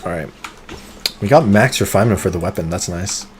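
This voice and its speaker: A man streaming a game live, talking through it in an excitable, competitive way, with sudden gasps and pleading. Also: deep voice, a little fast, background noise. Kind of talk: live gameplay commentary.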